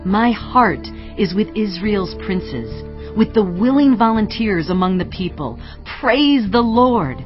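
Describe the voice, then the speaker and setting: A prophetess and leader, solemn and exhorting. She declaims scripture at a medium pitch with real authority, over music in a slightly dull recording. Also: animated, takes it slowly. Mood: exalted, declaiming. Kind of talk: dramatized audio Bible acting, declamatory.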